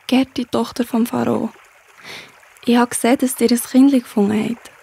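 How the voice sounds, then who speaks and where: A woman's voice: on the high side, level tone, moderate pace, vocal fry, mellow, slightly rough. A woman playing a respectful, helpful young girl in a children's audio drama. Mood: polite, earnest. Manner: respectful, formal address.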